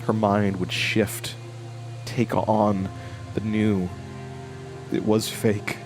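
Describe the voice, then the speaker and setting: Slow, low-voiced brooding man acting in horror drama. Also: level tone, music in the background. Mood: somber, reflective. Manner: slow, halting, reflective delivery. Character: brooding male narrator of a horror tale, haunted, grave.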